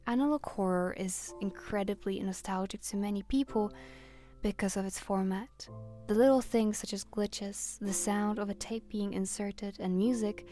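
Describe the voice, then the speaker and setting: Woman doing video essay voiceover, soft mellow tone, earnest n reflective, music playing behind her. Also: mid-range voice, fairly even intonation, moderate pace. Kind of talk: scripted video essay narration.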